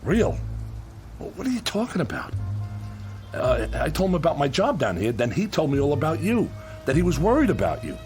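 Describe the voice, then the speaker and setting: A blunt, good-hearted American demolition contractor in a radio play. His voice sits fairly high for a man and swings freely up and down. He talks in rough, ungrammatical working-man phrases, earnest and insistent, with music underneath. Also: huge pitch swings, brisk, darkish tone. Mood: earnest, explaining. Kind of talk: radio drama acting.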